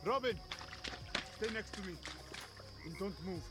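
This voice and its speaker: A terse companion in a video game, urgent, faint music behind. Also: male voice, sits high, unhurried. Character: steady male companion urging haste.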